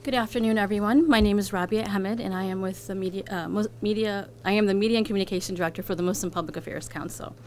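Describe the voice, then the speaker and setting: Female spokesperson, mid-pitched, formal and measured, reading a prepared statement over faint noise and hum. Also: steady tone, darkish tone. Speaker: advocacy group communications director.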